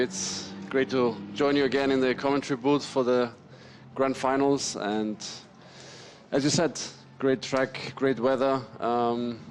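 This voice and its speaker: Flat-voiced hesitant male guest commentator. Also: medium pitch, background noise. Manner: hesitant, repeats words, fillers. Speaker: racing driver, guest co-commentator. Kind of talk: casual commentary chat. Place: commentary booth.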